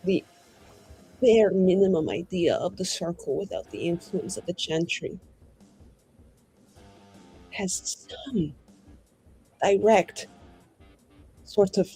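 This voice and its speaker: A player of unclear sex voicing a bitter, proud and defiant mage in a tabletop game, with clipped, deliberate, cutting delivery and a husky voice. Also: mid-range voice, exaggerated voice, poor audio. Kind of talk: in-character improvised dramatic dialogue.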